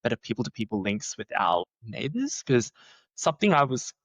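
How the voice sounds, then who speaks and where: A man's voice: fairly quick, dry recording. Chatty young man, dry close mic. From Australia. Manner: chatty, many "like" fillers, lively swings in pitch. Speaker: researcher, podcast guest. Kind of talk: casual conversational interview.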